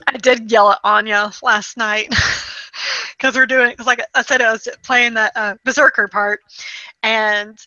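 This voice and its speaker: A woman chatting casually about video games, telling stories in an animated way with acted-out quotes and the odd swear word. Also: fairly high pitch, a little slow, dry recording, muffled recording. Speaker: podcast host.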